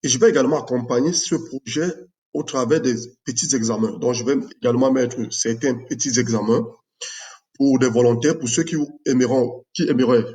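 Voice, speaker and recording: A man from Cameroon, a math teacher presenting his own project in an unscripted video, speaking in a measured way with many fillers. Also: mid-range voice, mellow.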